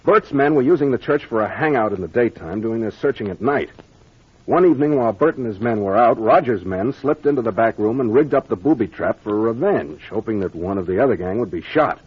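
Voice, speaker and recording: An American man playing a brisk, confident captain laying out a solved mystery in 1940s radio mystery style, plain-spoken and authoritative. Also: medium pitch, animated, slightly rough. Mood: brisk, explanatory. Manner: expository, authoritative, plain-spoken with gangster slang. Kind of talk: 1940s old-time radio mystery acting. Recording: dull, lo-fi vintage radio recording.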